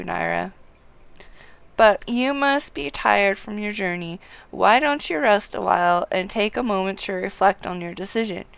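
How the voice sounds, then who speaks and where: Dignified fantasy leader read aloud by a woman, unhurried and smooth, formal game-text diction. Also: medium pitch, fairly bright tone, no room sound. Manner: measured, formal archaic phrasing.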